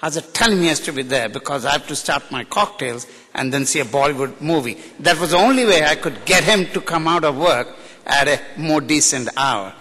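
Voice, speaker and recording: A man's voice: a little high, lively intonation, a little fast, pushed and bright, slightly rough. A middle-aged man from India with a mixed Indian, British and American accent, giving an unscripted, joking tribute speech at a podium microphone. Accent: Indian English with British and American influences. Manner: candid, joking, outspoken. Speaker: guest speaker at a ceremony. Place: large hall. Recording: reverberant hall.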